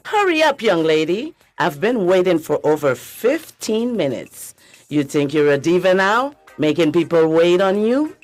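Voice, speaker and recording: A woman's voice: on the low side, very animated, measured pace, a little mellow, no echo, soft background music. A woman playing a domineering, leering middle-aged female pageant coach in a radio drama, pushy and scolding. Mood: bossy, scolding.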